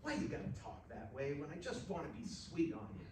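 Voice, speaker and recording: A man's voice: a little high, wildly swooping pitch, fairly quick. A man playing a plainspoken, easygoing rodeo cowboy in a story reading, with a folksy manner, recorded in a roomy space. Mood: teasing. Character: laconic, sweet-natured rodeo cowboy, male. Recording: roomy, distant mic with faint noise.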